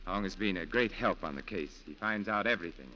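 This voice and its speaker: An actor playing a measured, reassuring lawyer and family friend in a 1940s radio drama, formal and assured. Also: male voice, low voice, bright, forceful tone. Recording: muffled, faintly noisy 1940s radio recording.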